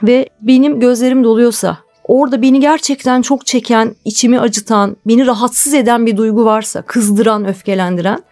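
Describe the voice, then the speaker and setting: A woman with a fairly high voice talks as a guest in a radio interview. She explains her views in a conversational, reflective way, with music behind her. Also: steady tone, brisk, hardly any pauses, darkish tone. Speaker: interview guest, television drama producer.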